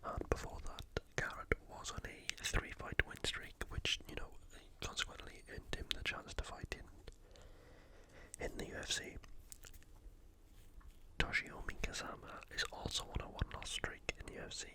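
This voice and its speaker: ASMR host. Breathy, unvoiced whisper throughout, unscripted and informal, thinking aloud through a sports preview. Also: a little slow, rough recording.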